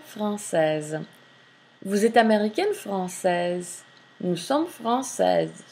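Slow gravelly woman teacher. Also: medium pitch, a little mellow. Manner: reads French lines then translates, slow and deliberate. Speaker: French teacher. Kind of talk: screen-recorded textbook walkthrough.

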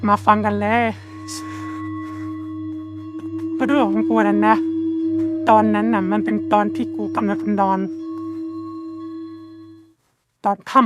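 A woman voicing a boastful schoolkid in a cartoon, telling a scary story slowly and suspensefully, in halting, drawn-out phrases. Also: mid-range voice, steady tone, close to an everyday voice. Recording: music behind the voice. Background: clear background music.

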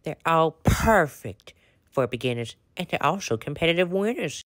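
A woman's voice: sits low, sing-song, normal speed, no echo, studio quality. A woman voicing a chatty, friendly male horse farmer with a Southern accent in a pretend-play story, folksy and effusive. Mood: chatty, welcoming.

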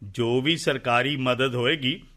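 An actor playing a persuasive, good-humored Punjabi farm adviser in a radio drama, insistent and jovial. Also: male voice, mid-range voice, a little slow, pushed and bright.

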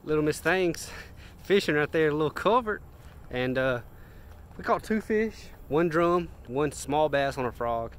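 A young man, talking excitedly and casually as a fishing vlogger on a boat and by a lake. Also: fairly high pitch, a little slow, soft background music. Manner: excited, chatty, rambling. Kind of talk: casual vlog narration. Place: outdoors on a boat, boat ramp and lakeside.

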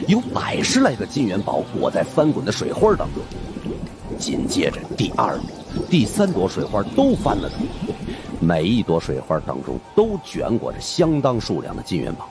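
A man's voice: medium pitch, a little mellow. A man narrating a horror story in a suspenseful, theatrical way. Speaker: audiobook narrator.

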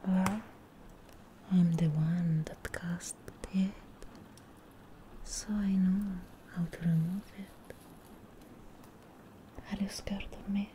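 A young woman in an ASMR fantasy roleplay as a charming, eerie witch, whispering breathily in a deliberate, soothing way, close to the mic. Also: fairly low pitch, steady tone, warm tone, a little hiss or hum, low-quality recording. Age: young adult. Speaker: ASMR performer.

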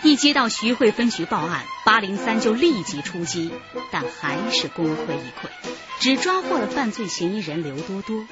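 A woman's voice: mid-range voice, big pitch swings, moderate pace, highs cut off. A woman narrating a crime radio drama in a dramatic, scripted style. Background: music.

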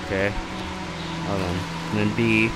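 A man with a nasal voice and a cold, commenting casually and excitedly on his gameplay, swearing often. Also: fairly low pitch, unhurried, background noise. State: has a cold. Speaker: gamer. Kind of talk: casual let's play commentary. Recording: loud game music behind.

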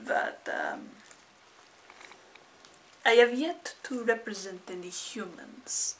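A solemn female vampire attorney, played by a woman in an improv comedy bit. She talks very slowly and theatrically, as if each word weighs a lot. Her voice sits a little high. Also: sing-song, vocal fry, a little hiss or hum, full character voice. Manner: slow, drawn-out, theatrical delivery.

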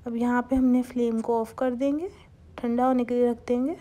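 A young woman hosting a home cooking channel, calmly talking viewers through a recipe step by step and mixing English cooking terms into her speech. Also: on the high side, moderate pace. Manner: calm, instructive, mixes English cooking terms into Hindustani speech.

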